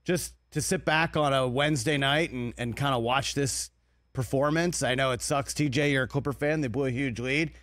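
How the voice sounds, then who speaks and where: A man's voice: mid-range voice, moderate pace, a bit bright, no echo, studio quality. Man co-hosting sports talk, stammering. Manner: stammering restarts, conversational. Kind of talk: sports talk show chat. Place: TV studio. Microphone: desk broadcast mic.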